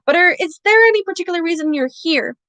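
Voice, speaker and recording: A woman voicing a nervous, polite teenage boy wizard who speaks in hushed, anxious tones. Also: very high voice, lively intonation, fast, no room sound, pitched above the performer's own voice, full character voice.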